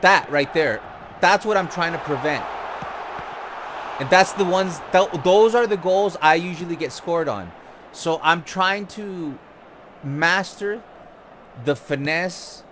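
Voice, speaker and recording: A man's voice: fairly high pitch, slow pace, long pauses, a bit bright, a little hiss or hum. Chatty gamer man coaching while he plays. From Costa Rica. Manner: casual and chatty, coaching the viewers. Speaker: gaming streamer. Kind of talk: live gameplay commentary. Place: home-style room, not his own home.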